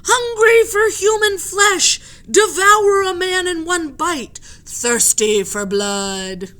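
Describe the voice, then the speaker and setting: A woman reading aloud voices frightened, angry male sailors who shout. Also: very high-pitched, lively intonation, takes it slowly, voice pushed up, full character voice.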